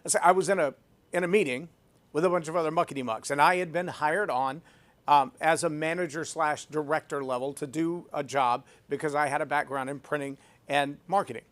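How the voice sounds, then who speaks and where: A man's voice: on the high side, brisk. A man hosting a leadership podcast, talking emphatically and repeating phrases for effect as he tells a personal story. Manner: self-described loud voice. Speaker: podcast host.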